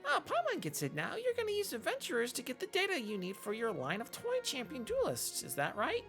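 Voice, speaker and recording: A tiny fairy sidekick, as a game streamer puts it on, pitched very high and squeaky. The little creature sounds cheeky and eager, chirping through video game dialogue with music behind. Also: wildly swooping pitch, fairly quick, creaky, a bit bright, exaggerated voice. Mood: curious, cheeky. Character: small high-pitched fairy companion, cheeky and eager, speaks in third person.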